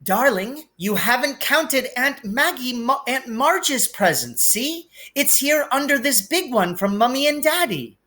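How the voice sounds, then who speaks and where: A man's voice: extremely high pitch, big pitch swings, measured pace, hardly any pauses, sharp tone, pitched above the performer's own voice, exaggerated voice. A man voicing a doting, fussy mother in a storybook, sugary and placating. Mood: coaxing. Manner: sugary, coaxing.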